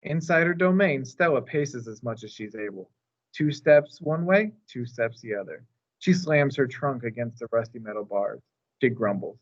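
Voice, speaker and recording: A man reading a children's story aloud as narrator, in an expressive storytime style. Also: mid-range voice, moderate pace, muffled recording. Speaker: storytime reader.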